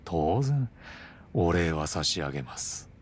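A man acting a composed crime boss in a Japanese game dub, courteous and formal in measured, condescending phrases. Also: low voice, sing-song, husky, exaggerated voice. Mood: businesslike, probing.

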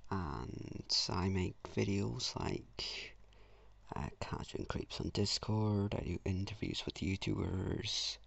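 A teenage boy rambling self-mockingly through a low-key channel intro in a flat, gravelly voice. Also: slow. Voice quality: gravelly, husky, flat-sounding voice. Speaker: YouTuber. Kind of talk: casual channel intro voice-over.

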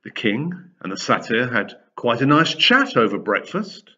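Male storyteller, 50s, very animated pitch, unhurried delivery, reading for children with dramatic emphasis. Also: lo-fi sound. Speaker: storyteller reading a Greek myth for pupils. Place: large indoor room with high wooden ceiling.

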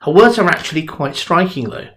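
A man's voice: on the high side, lively intonation, brisk, fairly bright tone. Conversational middle-aged male minister. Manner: conversational, earnest storytelling. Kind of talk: sermon. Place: home study with bookshelves, indoors. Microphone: webcam at desk.

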